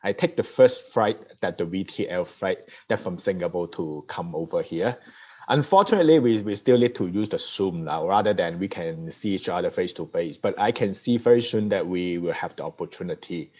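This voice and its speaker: Hong Kong-accented male guest speaker, low voice, lo-fi call audio. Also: lively intonation, normal speed, a little mellow. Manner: repetitive restarts, non-native grammar. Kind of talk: conference talk over video call. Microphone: video call. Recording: dull lo-fi video call audio.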